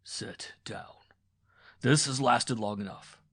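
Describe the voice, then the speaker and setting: A man voicing a jealous, furious peasant husband in a storybook reading, who swings between loud shouts and a hoarse, hissing whisper. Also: medium pitch, slow pace, no echo, clean studio sound. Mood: accusing. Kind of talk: audiobook dialogue reading.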